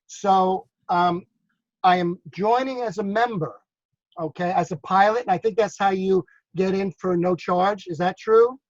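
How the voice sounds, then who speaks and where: A man's voice: high-pitched, unhurried, dry recording. Rambling man on a dull call line. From New York. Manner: rambling, repeats words, asks for agreement. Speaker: drone business consultant. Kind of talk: casual video call discussion.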